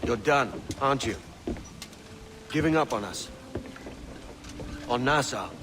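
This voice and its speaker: An actor playing a blunt, confident young pirate in a video game, speaking directly. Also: male voice, mid-range voice, expressive, slow, noisy background. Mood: reproachful. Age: young adult. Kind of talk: video game cutscene acting.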